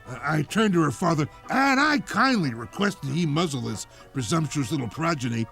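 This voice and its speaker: Hoarse croaky pompous radio host, aggrieved n florid, swoopy pitch, comic monologue. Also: male voice, very animated, moderate pace, fairly bright tone, faint music behind, heavily acted. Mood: indignant, wounded dignity. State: hoarse, coughing.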